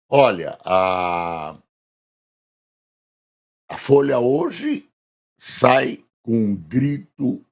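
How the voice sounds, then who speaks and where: Brief: hoarse Brazilian male news commentator, deep gravelly voice, unhurried chatty delivery. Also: a little mellow. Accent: Brazilian Portuguese. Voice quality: gravelly, husky. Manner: conversational, reads headlines aloud slowly with emphasis. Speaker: news commentator reviewing front pages. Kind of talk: casual spoken press review.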